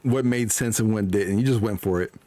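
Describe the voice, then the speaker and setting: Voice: mid-range voice, a little fast, warm tone. A streamer giving casual, joking, informal reaction commentary while playing a video game.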